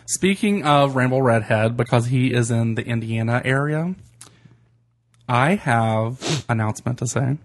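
A man co-hosts a loose, casual podcast from a home setup. He talks in a chatty, teasing way and giggles between lines. His voice sits at an everyday pitch. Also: measured pace. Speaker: podcast co-host.

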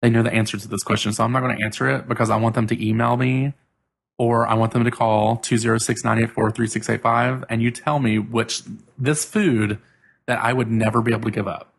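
A man's voice: mid-range voice, fairly even intonation, brisk, gravelly, husky. A man co-hosting a podcast in casual, joking chat, laughing often and steering the segment. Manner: laughs often, bossy banter. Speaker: podcast co-host.

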